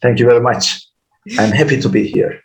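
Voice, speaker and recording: A man's voice: medium pitch, fast talker, vocal fry, darkish tone, rough recording. A man in his thirties with a Turkish accent, explaining his career step by step as an interview guest. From Turkey. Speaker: interview guest, engineer and educator.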